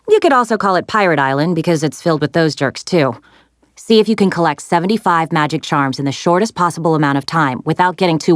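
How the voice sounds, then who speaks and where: An actress voicing a plucky, sassy young woman adventurer in a video game, expressive and wry as she briefs heroes. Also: sits low, no echo, studio quality. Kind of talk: animated video game character lines, giving mission briefings.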